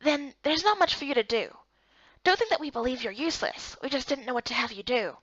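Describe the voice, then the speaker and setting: A woman plays a calm game character giving instructions. The delivery is matter-of-fact, recorded dry with a slightly dull sound. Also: high voice, fairly quick, no echo. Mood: reassuring.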